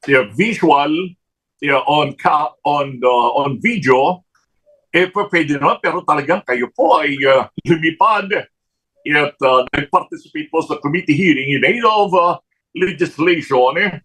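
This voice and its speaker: A man's voice: on the high side, normal speed, fairly bright tone, husky, poor audio. A man with a Filipino accent hosting a radio interview, mixing Tagalog and English with many fillers and restarts. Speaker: radio host. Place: radio studio.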